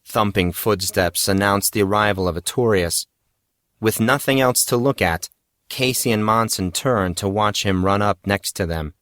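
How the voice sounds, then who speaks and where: A man's voice: on the low side, moderate pace, a bit bright, dry recording, studio quality. A man reading fantasy fiction as a dramatic audiobook narrator.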